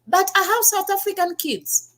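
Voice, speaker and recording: A woman's voice: extremely high pitch, expressive, moderate pace, fairly bright tone. A Kenyan woman with a Kenyan accent, hosting a talk and telling her own story in an earnest, emphatic way. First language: her Kenyan mother tongue. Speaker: talk show host.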